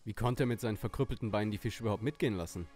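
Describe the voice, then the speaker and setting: A man's voice: lowish voice, very fast, a little mellow, faint music behind, natural voice. A man playing an eager young amateur detective in a parody of a youth mystery audio play. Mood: puzzled.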